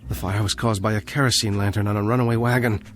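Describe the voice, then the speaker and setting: An actor playing a troubled, helpless young time traveller, brooding and earnest, in an old television drama. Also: male voice, lowish voice, steady tone, fast talker, natural voice. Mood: dawning dread. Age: young adult.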